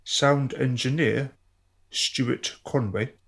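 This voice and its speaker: A male announcer reads scripted credits. He goes slowly through each item in a medium-pitched voice with noticeable creak. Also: fairly even intonation, a bit bright.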